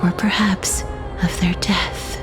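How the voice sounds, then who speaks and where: A woman playing a gentle, earnest young adventurer in a video game, explaining things in a soft, solemn, thoughtful way. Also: fairly low pitch, level tone, slow, in a whisper. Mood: solemn, pensive. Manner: soft, solemn, breathy sighs. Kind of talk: video game dialogue, expository. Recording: music behind the voice.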